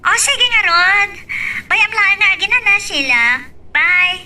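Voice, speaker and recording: Woman acting piercingly high, bright, chirpy young lady. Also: animated, unhurried, full character voice. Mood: sugary. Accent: Filipino, Ilocano. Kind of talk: Ilocano audio drama acting. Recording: distant, faintly noisy recording.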